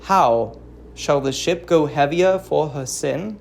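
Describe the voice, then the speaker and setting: A woman playing a stern, low-pitched Greek king. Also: takes it slowly, vocal fry, darkish tone, slight background noise, exaggerated voice. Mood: grim judgment. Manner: declamatory, commanding. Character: stern, wrathful Greek king, a husband wronged.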